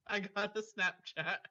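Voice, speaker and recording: A man's voice: sits high, sharp tone. A man reading fan fiction aloud and breaking off into casual, chatty asides. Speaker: story reader. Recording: dull lo-fi recording.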